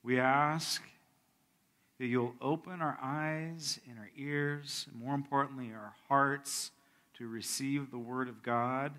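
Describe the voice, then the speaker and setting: A man's voice: mid-range voice, a bit bright. A middle-aged man, a pastor, giving announcements, a prayer and a sermon in a conversational, self-correcting way.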